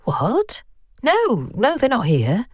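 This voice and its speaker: A woman's voice: a little high, huge pitch swings, slow pace, husky, dry recording, studio quality, pitched above the performer's own voice, heavily acted. A woman voicing an anxious, easily startled young woman on the phone in a horror story, hesitant and jittery. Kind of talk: audio horror story dialogue.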